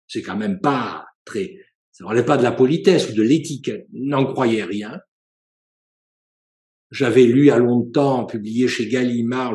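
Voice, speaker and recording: A man, a professor and psychoanalyst, giving a seminar lecture in a measured, explanatory way, with frequent hesitations and self-corrections. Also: mid-range voice, a little mellow, echoey room. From France.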